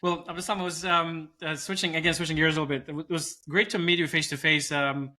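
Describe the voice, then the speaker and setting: A man's voice: on the high side, flat delivery, fast talker, bright, forceful tone. A man hosting a casual interview, asking questions and affirming his guest's answers. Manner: encouraging, frequent affirming backchannels. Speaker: interviewer, host.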